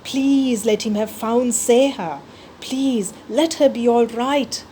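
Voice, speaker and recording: A woman's voice: a little high, slow, mellow, a little hiss or hum. A woman voicing a frightened little mouse. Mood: pleading. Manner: urgent, pleading. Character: young anxious mouse child, first-person hero.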